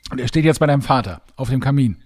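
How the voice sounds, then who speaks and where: A man's voice: mid-range voice, expressive, fast, creaky, a little mellow. A middle-aged man, chatting casually as a podcast co-host in a playful, self-deprecating way. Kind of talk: casual chat.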